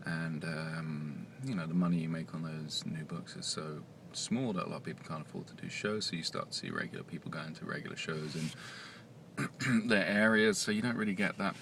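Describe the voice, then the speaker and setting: A man who writes comics, talking quietly and hesitantly in a casual vlog monologue, with many pauses and fillers. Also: extremely low pitch, animated, vocal fry, a little hiss or hum.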